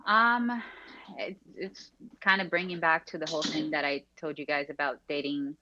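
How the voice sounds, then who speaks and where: A woman with a notably low voice talks casually as a guest, full of ums and restarts. She is joining over headphones on a remote call, and the audio is dull and lo-fi. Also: fairly even intonation, normal speed, pushed and bright. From Brazil. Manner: chatty, many fillers and restarts. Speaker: real estate agent, guest.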